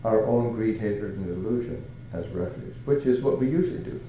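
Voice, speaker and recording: An older man from Canada giving a Buddhist talk as a monk, halting and searching for words with many fillers and soft chuckles. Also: fairly low pitch, heavy reverb, slight background noise, poor audio. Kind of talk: dharma talk.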